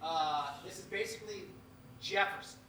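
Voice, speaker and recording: Man, high voice, far from mic, echoey, loud background noise, casual tentative delivery. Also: normal speed. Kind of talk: casual off-the-cuff chat. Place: on stage, away from the microphone. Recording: distant, echoey, very noisy stage pickup.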